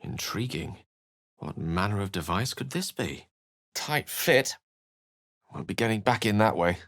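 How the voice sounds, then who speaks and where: A man's voice: lowish voice, dry recording, studio quality. A man voicing an eloquent, courtly wizard companion in a fantasy video game, speaking formally and theatrically. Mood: measured, cautionary, then wry, light. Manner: articulate, formal, theatrical phrasing. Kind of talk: fantasy video game voice acting, dramatic narration and dialogue.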